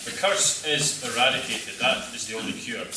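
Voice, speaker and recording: A man on stage in an amateur play, acting a solemn, cryptic noble knight with a measured, formal delivery. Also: medium pitch, natural voice. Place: theatre stage, live performance. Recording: echoey hall, far off, very noisy audience.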